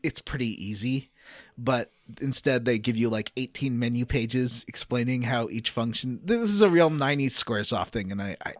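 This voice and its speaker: A man's voice: medium pitch, expressive, normal speed, no room sound. A man hosting a casual podcast, recapping a video game in a rambling, enthusiastic way. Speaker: podcast host.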